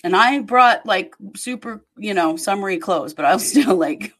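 A woman's voice: mid-range voice, expressive, unhurried, a bit bright. A woman hosting a podcast in casual chat, talking easily and laughing often. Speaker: podcast host.

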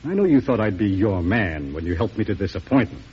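A man's voice: fairly low pitch, sing-song, brisk. A man playing a haughty, hard-hearted senator in mid-century radio drama, with a curt, pompous, dismissive delivery. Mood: defiant, angry. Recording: muffled, noisy old radio broadcast.

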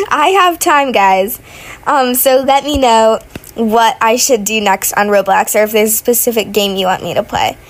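A woman's voice: fairly high pitch, a little slow. Sick young woman rambling over gameplay. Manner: rambling, many fillers and restarts. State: sick, voice sounding off. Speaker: gaming vlogger. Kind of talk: casual gameplay commentary. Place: at home in bed.

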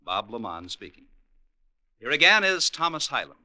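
Bright, punchy 1950s American radio announcer man. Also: mid-range voice, sing-song, normal speed. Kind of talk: 1950s radio announcing, scripted credits and network promos. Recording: vintage 1950s radio recording, music. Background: music.

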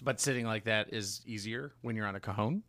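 A man's voice: fairly low pitch, a little fast, a bit bright, no echo. A man co-hosting a podcast in casual, easygoing chat with a joking manner. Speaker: podcast co-host and guitarist.

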